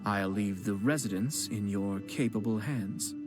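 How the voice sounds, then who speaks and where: A man voicing a composed young noble clan head who speaks formally and in a measured way, with gentle teasing. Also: on the low side, lightly acted. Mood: courteous calm. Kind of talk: game voice acting. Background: game music.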